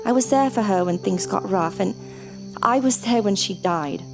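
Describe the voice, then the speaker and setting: A woman acting in an audio drama as a caring, sentimental older sister, earnest and expressive with genteel phrasing. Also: medium pitch, normal speed, vocal fry, music in the background, lightly acted. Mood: hurt, upset.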